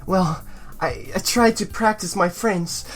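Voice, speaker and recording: A woman hosting a let's play, switching between reading game text aloud and excitable, sweary commentary. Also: medium pitch, unhurried, slightly rough, soft background music. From Europe, near Belgium. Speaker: gaming video host.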